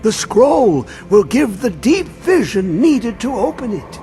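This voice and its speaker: A man voicing a mad, obsessed old male scholar who talks in riddles and swings between high and low. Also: sing-song, takes it slowly, mellow, heavily acted. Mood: conspiratorial. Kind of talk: video game voice acting. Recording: game audio with faint music.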